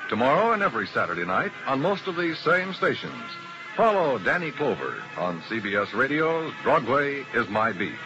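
Formal 1950s male network announcer, lively delivery. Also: mid-range voice, normal speed, dull audio, over music. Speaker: radio announcer.

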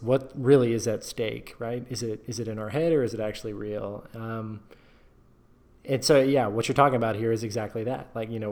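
A man's voice: mid-range voice, a little mellow. A man hosting a podcast interview, chatting casually and encouragingly, with many fillers. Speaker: podcast host.

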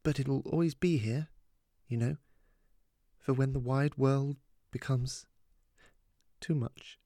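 A man voicing an anxious, loving mother in a story, talking in a fretful, halting way. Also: mid-range voice, steady tone, mellow, no echo, studio quality. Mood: tender, encouraging. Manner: fretful, halting, repeating words. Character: anxious, exasperated, loving mother of a teenage daughter. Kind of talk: audiobook character dialogue.

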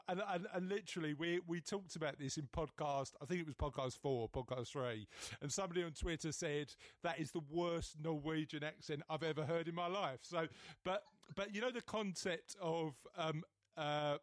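A man from East London with a London accent, hosting a podcast interview in a chatty, self-mocking way with halting restarts. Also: a little high, dry recording, clean studio sound. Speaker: podcast host. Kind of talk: casual interview chat.

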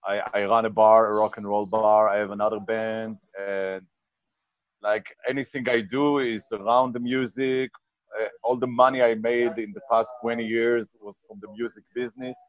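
A man's voice: on the low side, little pitch movement, moderate pace, no room sound, narrow, tinny audio. A man in his forties from Israel, a band member and bar owner, talking earnestly in a casual interview and searching for words. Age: 40s. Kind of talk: casual interview over a call.

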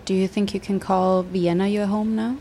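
A woman interviewing a guest, asking questions and reflecting on his answers in a thoughtful, measured way. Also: medium pitch, little pitch movement, mellow, slight background noise.

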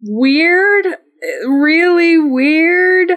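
A woman's voice: very high voice, drawn-out words, fairly bright tone. A woman co-hosting a casual comedy podcast, telling stories with dry exaggeration and some swearing. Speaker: podcast co-host. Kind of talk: casual comedic recap of a wrestling show.

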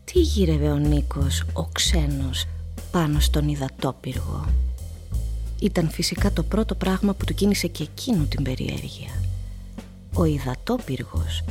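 A woman narrator with a low voice tells a literary radio drama. Her delivery is measured and dramatic, with faint music behind it. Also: dark tone.

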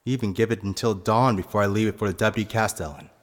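A man plays a vengeful phantom-like nobleman with a low voice and cold, measured menace. His words are formal and deliberate, ringing with long hall reverb in a fantasy audio drama. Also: fairly even intonation, lightly acted. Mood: menacing. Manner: deliberate, cold, eloquent threats.

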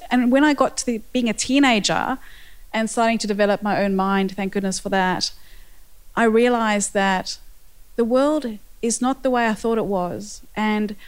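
A woman's voice: medium pitch, smooth. A woman in her forties with a South African accent, speaking earnestly as a panel guest and sharing personal reflections.

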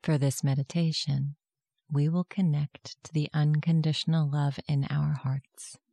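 A meditation guide giving gentle, soft-spoken, measured instructions in a scripted guided meditation. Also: medium pitch, level tone, vocal fry, no room sound.

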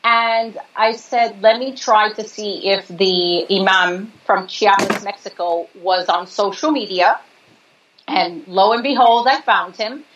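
Female interview guest from New York, earnest and explanatory, mid-pitched, somewhat dull recording. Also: takes it slowly, fairly bright tone, slight background noise. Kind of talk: conversational interview. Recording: slightly dull audio.

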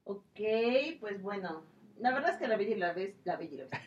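A woman's voice: medium pitch, lively intonation, fairly quick. A woman chatting casually as a podcast co-host. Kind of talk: casual chat. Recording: roomy, distant, dull lo-fi audio.

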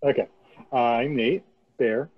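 A man's voice: mid-range voice, steady tone, a little slow. A man from New Jersey talking on a video call in a laid-back, meandering way. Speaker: illustrator and designer. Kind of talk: casual chat. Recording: dull lo-fi call audio.

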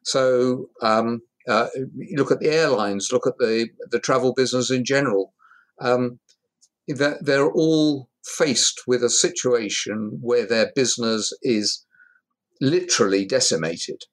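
Male guest, measured, thoughtful, pausing delivery. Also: mid-range voice. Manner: measured, thoughtful, pauses with fillers. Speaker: hospitality industry guest expert. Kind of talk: conversational interview.

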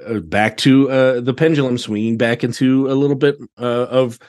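Male guest, casual and halting, feeling his way through sentences. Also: mid-range voice, a little mellow. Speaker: podcast guest, theatre director. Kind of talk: casual chat.

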